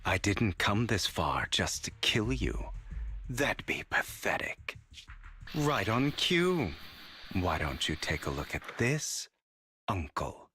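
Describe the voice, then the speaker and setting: An actor playing a theatrical, mocking young gentleman thief in a video game, with a dramatic, taunting delivery. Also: fairly low pitch, big pitch swings, slow, soft background music, heavily acted. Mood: cold taunting.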